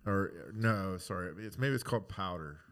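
Deep-voiced man in halting casual talk. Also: a bit bright. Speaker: podcast panelist. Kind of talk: casual chat about films.

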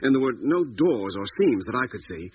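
An actor playing a thoughtful but defensive doctor on a space crew in a 1950s radio drama, speaking hesitantly. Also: male voice, medium pitch, sing-song, a little fast, natural voice. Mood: hesitant, careful. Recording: muffled, noisy 1950s radio recording.

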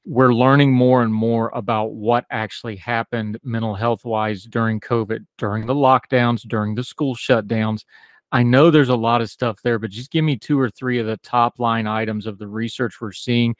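A man's voice: mid-range voice, steady tone, normal speed, dry recording. A man with an Appalachian accent hosting a talk radio show, folksy and chatty, interviewing a guest. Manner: folksy, talkative, self-deprecating.

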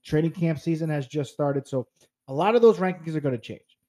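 A man in his thirties from New Jersey talks through a casual solo podcast with a slightly raised pitch. He is stuffed up and congested, yet stays chatty and upbeat, speaking straight to his listeners. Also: a little fast, warm tone. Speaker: fantasy football podcast host.

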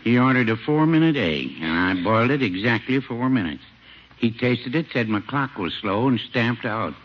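An actor playing a mild, cautious older small-town druggist, folksy and dry, in a 1950s radio comedy. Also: male voice, mid-range voice, normal speed, close to an everyday voice. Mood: wry. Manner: folksy, deadpan, hesitant. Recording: noisy, dull 1950s radio recording.

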